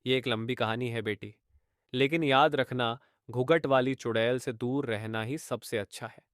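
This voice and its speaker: A man playing an elderly grandfather, soft, cautious, cartoon story, dry close recording. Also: medium pitch, fairly even intonation, normal speed, clear, resonant tone, no echo, lightly acted. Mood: warning. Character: old grandfather warning his granddaughter, gentle.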